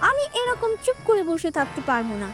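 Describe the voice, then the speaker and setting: A woman's voice: very high voice, big pitch swings, brisk. A woman voicing a proud, spiteful female crow in a children's cartoon, indignant and complaining. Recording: music under the voice.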